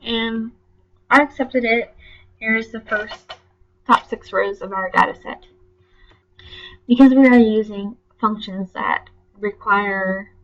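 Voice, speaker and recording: A young woman with a stuffy nose from allergies, giving a recorded class presentation in a plain, slightly halting way with frequent ums and self-corrections. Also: medium pitch, lo-fi sound. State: congested from allergies. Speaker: student presenter.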